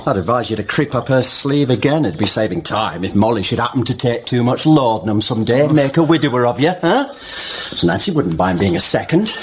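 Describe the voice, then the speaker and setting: A man's voice: medium pitch, sing-song, brisk, a little hiss or hum, lightly acted. An actor playing a sly, idle squire's son, taunting his brother with mock affection in a period radio drama.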